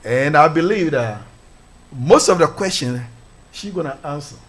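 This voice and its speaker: A man's voice: a little high, lively intonation, unhurried, a bit bright. A man, a pastor hosting a church radio show, interviewing a guest and praying in a fervent, formal way. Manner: fervent, formal, repeats hallelujah.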